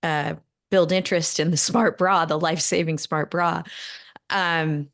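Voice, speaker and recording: A middle-aged woman from Northern California leads an interview with a somewhat low voice. She wanders through long questions, restarting and filling pauses with ums. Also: fairly even intonation, a bit bright. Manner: rambling, many fillers and restarts. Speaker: podcast host. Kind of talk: interview conversation.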